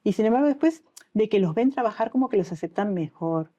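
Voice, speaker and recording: A woman's voice: medium pitch, brisk, highs cut off. A middle-aged Uruguayan woman with a Uruguayan Spanish accent, an anesthesiologist telling stories in an interview and acting out what people said to her. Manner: animated storytelling, acts out quoted dialogue. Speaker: anesthesiologist guest. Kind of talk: conversational interview.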